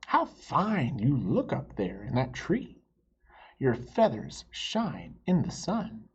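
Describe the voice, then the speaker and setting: A man voicing a sly, smooth-talking male fox who coaxes with sugary flattery, in a husky voice. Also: mid-range voice, slow, muffled recording, heavily acted. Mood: flattering.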